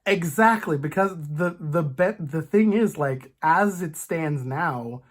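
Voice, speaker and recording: A podcast host chatting casually as a fan, in an animated, rambling, stop-start way with playful asides. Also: medium pitch, slow. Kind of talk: casual comic book fan chat.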